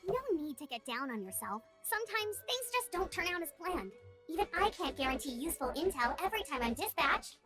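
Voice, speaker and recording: A woman's voice: high voice, sing-song, a little fast. A woman playing a perky, encouraging young scout knight in a video game, speaking in an upbeat, eager, friendly way. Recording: loud game music behind.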